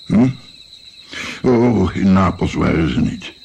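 A man's voice: sits low, lively intonation, takes it slowly, gravelly, a little mellow, highs cut off, slight background noise, full character voice. An actor playing an older musician and physician, recalling his naive youth in a reflective, hesitant, wry way in a radio play. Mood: wry, reminiscing.

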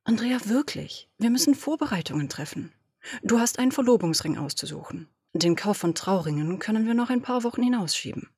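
A domineering woman boss with a husky, breathy voice, as a woman reads her fires off brisk orders and brushes aside objections. She sounds dry and close to the microphone, like a home audio drama recording. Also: medium pitch, expressive, darkish tone, dry recording. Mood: impatient, imperious. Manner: commanding, brisk, dismissive. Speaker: podfic reader. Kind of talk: German audio drama reading.

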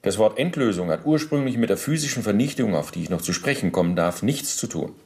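Radio drama role: middle-aged Nazi functionary giving testimony, low creaky voice, officious, halting, self-justifying. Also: male voice, expressive, darkish tone. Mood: officious, pedantic. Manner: formal, bureaucratic, halting with deliberate pauses. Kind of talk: German radio play, testimony monologue.

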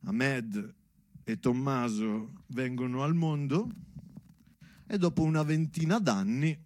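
A man's voice: mid-range voice, takes it slowly, a little mellow. A man performing a theatrical storytelling monologue as a solo stage actor.

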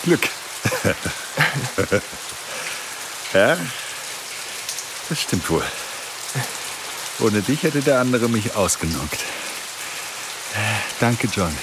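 Ein Schauspieler in einem Hörspiel spielt einen bulligen, väterlichen älteren amerikanischen Schriftsteller im Krieg, knapp und vertraulich im Ton, mit rauer Stimme. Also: male voice, medium pitch, sing-song, heavily acted. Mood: gruff, grateful. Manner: slow, terse, familiar. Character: gruff, burly older famous American writer at war, fatherly. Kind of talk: radio play acting. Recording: noisy, rain pattering around. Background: loud rain.

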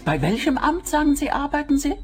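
A woman's voice: high-pitched, very animated, a little fast, faint music behind. An actress playing a suspicious, irascible and eccentric older woman in a radio play, with a theatrical manner. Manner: prickly, theatrical.

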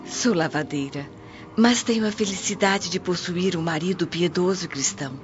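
An actress playing a kindly, pious, humble Roman washerwoman in a radio drama, gentle and motherly. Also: on the low side, normal speed, lightly acted. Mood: tender, consoling. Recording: dull lo-fi audio with music behind.

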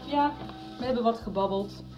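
A woman's voice: a little high, moderate pace, a little mellow, faint music behind, close to an everyday voice. A woman acting a frank, concerned confidante in a Dutch radio soap. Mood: friendly, reassuring. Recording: dull 1990s radio broadcast recording.

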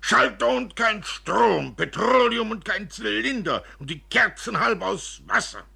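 Man playing a chatty, husky, fond father. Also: high-pitched, animated, a little slow, sharp tone, pitched above the performer's own voice, full character voice. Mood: exclaiming. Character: fond, joking father, fussing over his daughter. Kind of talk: radio play literary reading.

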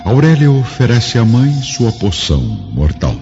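A man's voice: mid-range voice, big pitch swings, a little slow. A man narrating a radio drama in a solemn, grave and theatrical scripted style. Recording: muffled radio drama with faint music.

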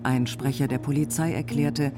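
A male actor plays a crime news announcer reading formally. His voice is medium in pitch, and guitar music runs clearly underneath. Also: fairly even intonation, moderate pace, natural voice. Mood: neutral, formal.

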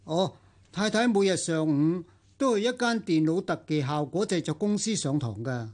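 An actor with a Hong Kong Cantonese accent playing a polite, deferential chauffeur in a radio drama. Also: male voice, on the high side, expressive, moderate pace, darkish tone, natural voice.

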